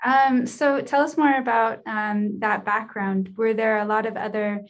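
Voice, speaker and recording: Female host, somewhat high voice, curious casual questions. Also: steady tone, measured pace. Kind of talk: interview conversation.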